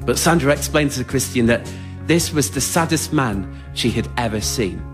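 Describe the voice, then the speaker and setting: A man performs an autobiographical monologue from a theatre stage. His delivery is wry, understated and plain-spoken, with a medium-pitched voice. Faint music sits behind him. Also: expressive, normal speed. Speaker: writer-performer of a solo radio show. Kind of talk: autobiographical storytelling before a live audience. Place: theatre stage in front of an audience.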